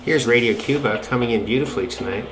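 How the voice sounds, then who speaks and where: A man's voice: mid-range voice, fast talker, background noise. A man giving casual, unscripted commentary as a radio hobbyist, musing and tentative as he thinks aloud. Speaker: shortwave radio hobbyist narrating a video. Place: close to a playing shortwave radio. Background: shortwave radio broadcasts with music.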